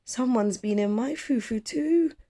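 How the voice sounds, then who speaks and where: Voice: medium pitch, measured pace, dark tone, pitched above the performer's own voice, natural voice. A storyteller voicing an exasperated, knowing mother in a children's storybook, speaking emphatically in disbelief. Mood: surprised. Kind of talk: children's storybook read-aloud.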